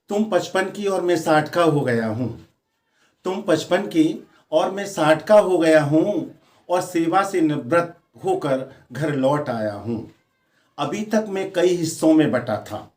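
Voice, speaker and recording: Slow, measured male poet in echoey room. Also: fairly high pitch, a little mellow. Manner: declamatory, repeats lines for emphasis. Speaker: poet reciting own poem. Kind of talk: Hindi poetry recitation with spoken asides. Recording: roomy, echoing sound.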